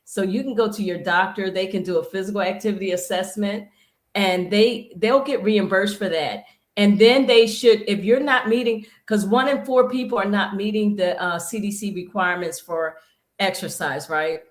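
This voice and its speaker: Brief: woman guest, fitness pro, rambling with many restarts, middle pitch, echoey room. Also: normal speed. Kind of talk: live-stream conversation.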